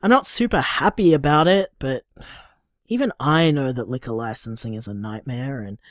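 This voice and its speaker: A woman's voice: rumbling low, moderate pace, no echo, clean studio sound. A woman playing a caring, stubborn, sweary partner in an audio drama, talking in an emphatic, coaxing, informal way. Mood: hesitant, bargaining. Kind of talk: naturalistic audio drama monologue.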